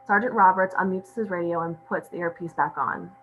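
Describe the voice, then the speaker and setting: A woman's voice: lowish voice, fairly quick, fairly bright tone, a little hiss or hum, low-quality recording. A woman reading stage directions as scripted narration at a table read.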